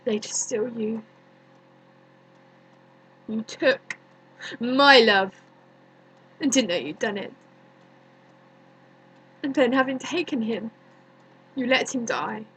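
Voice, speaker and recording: A woman performing a dramatic stage monologue as a bitter, grieving young woman, deliberate and accusing, with many pauses. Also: a little high, fairly bright tone, a little hiss or hum, low-quality recording. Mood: resentful, accusing. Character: bitter grieving young woman haunted by her dead cousin.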